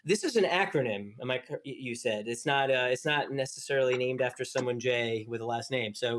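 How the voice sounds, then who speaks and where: A man hosting an interview podcast, talking chattily and often stumbling and restarting his sentences. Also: mid-range voice. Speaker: podcast host. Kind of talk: hosted interview.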